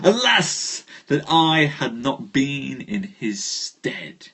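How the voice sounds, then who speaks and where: A man's voice: mid-range voice, sing-song, drawn-out words, pushed and bright, breathy edge, exaggerated voice. A man voicing a noble knight of legend who speaks in old-fashioned courtly words, angry and shamed. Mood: urgent, dismayed.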